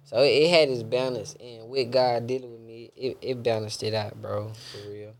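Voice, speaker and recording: A young man talks in a loose, rambling way, full of bro and man. His voice has a gravelly edge at a middling pitch. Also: steady tone, normal speed. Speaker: gospel rapper guest. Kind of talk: casual interview chat.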